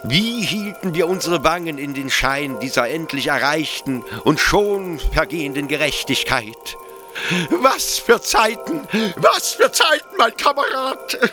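An actor playing a fervent, nostalgic officer in a radio drama, theatrical, moving between hushed confiding and exalted declaiming. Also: male voice, a little high, very animated, a little slow, over music. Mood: ecstatic. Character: fervent, nostalgic male officer devoted to brutal old justice.

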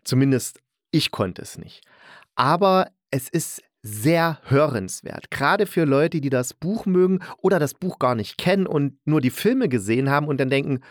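A man's voice: fairly high pitch, expressive, normal speed, no echo, clean studio sound. A man giving a casual, colloquial spoken review as a podcast host, enthusiastic and fond of emphatic repetition.

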